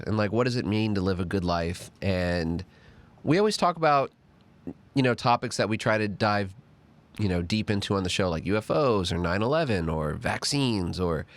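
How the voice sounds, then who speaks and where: Nasal, congested male podcast host. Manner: animated and talkative, pitch jumping up on emphasis. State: congested from a cold. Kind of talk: casual chat.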